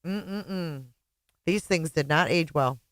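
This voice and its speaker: A woman giving casual reaction commentary on old interview clips, chatty with quick asides. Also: low voice, no room sound, clean studio sound.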